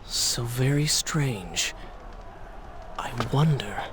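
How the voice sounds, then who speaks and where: An actor playing a proud, headstrong young prince in a video game, with intense, theatrical delivery. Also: male voice, mid-range voice, lively intonation, extremely slow, heavy background noise. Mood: wary, puzzled. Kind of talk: dramatic video game voice acting. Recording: loud music over game audio.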